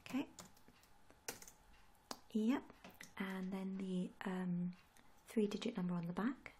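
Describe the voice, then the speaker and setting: Young female ASMR artist, gentle and half-whispered, medium pitch, noticeable background noise. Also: slow. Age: young adult. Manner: gentle, hushed, often slipping into whisper. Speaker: ASMR creator playing a tattoo artist. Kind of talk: soft-spoken ASMR roleplay. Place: small room indoors.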